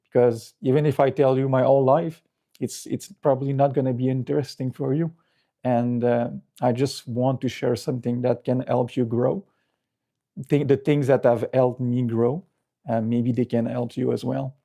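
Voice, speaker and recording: A middle-aged man with a French Canadian accent talks casually to his livestream viewers. His voice is mellow and relaxed, at an average male pitch. He speaks English as a second language after French, often pausing and repeating words as he searches for the right one. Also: gravelly. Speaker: livestream host. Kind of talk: casual unscripted livestream chat.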